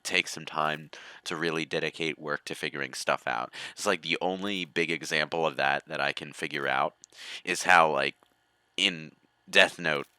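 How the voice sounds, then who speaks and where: A man's voice: extremely low pitch, steady tone, moderate pace, sharp tone, clear, resonant tone, dry recording. A man giving opinionated, rambling commentary in a casual group chat over a video game. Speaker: gaming commentator.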